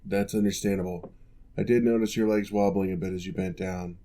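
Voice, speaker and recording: A man voicing a former orc general who now works as a patient physical therapist, giving calm, measured instructions in an ASMR roleplay. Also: low voice, lightly acted. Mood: reassuring.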